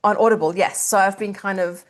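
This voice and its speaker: A woman hosts a podcast in a middle-pitched voice, warm in her words and gushing over her guest. She often stops and restarts with "um" as she looks for how to put her next question. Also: fairly even intonation, fairly quick. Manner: effusive, gushing with hesitant fillers. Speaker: podcast host. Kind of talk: casual podcast interview.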